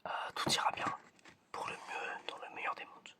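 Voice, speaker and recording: A breathy whisper with a bright, crisp edge carries this ASMR roleplay. The speaker is chatty and playful, hesitating and repeating little phrases while acting as an examiner running simple tests. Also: moderate pace. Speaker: ASMR creator playing an examiner giving sense tests.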